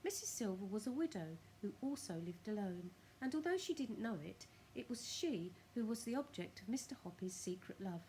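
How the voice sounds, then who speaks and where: Female primary school storyteller: bright expressive reading, swooping intonation, careful dramatic phrasing, slight noise behind. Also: mid-range voice, moderate pace, few pauses, rough recording. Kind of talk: expressive children's story narration.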